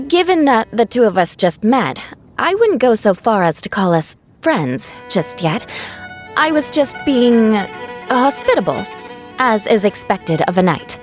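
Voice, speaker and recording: A woman playing a proud but awkward young knight in a video game, speaking in a formal, precise, measured manner. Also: a little high, very animated, soft background music. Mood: reserved, formal.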